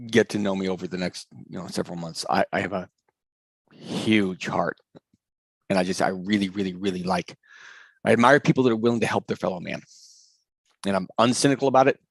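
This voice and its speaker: A man's voice: low-pitched, gravelly, no room sound. A man running a live online coding class, talking casually and enthusiastically with lots of fillers and restarts. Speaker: coding course instructor. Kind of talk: casual live class over video call.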